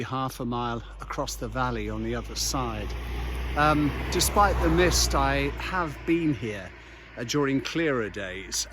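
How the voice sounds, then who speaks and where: A man's voice: medium pitch, a bit bright, a little hiss or hum. A man narrating an unscripted local history walk outdoors in a conversational, explanatory storytelling way. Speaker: local history walking presenter. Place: outdoors on a misty hillside road.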